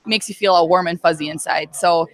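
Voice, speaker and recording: A woman's voice: lowish voice, fairly even intonation, brisk, low-quality recording. A woman in her thirties, a girls basketball head coach, answering interview questions in a plain-spoken way with many fillers. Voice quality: loud voice.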